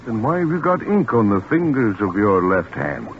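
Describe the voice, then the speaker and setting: An actor playing a grave, questioning colleague of a scientist, in 1940s radio drama style. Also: male voice, fairly low pitch, wildly swooping pitch, moderate pace, a little hiss or hum. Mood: suspicious. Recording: tinny 1944 radio recording, loud music.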